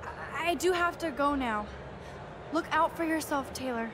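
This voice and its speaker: A woman playing an earnest, worried teenage girl student in a video game, speaking softly and with some hesitation. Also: sits high, a little slow, noisy background. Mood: concerned. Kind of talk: video game cutscene dialogue.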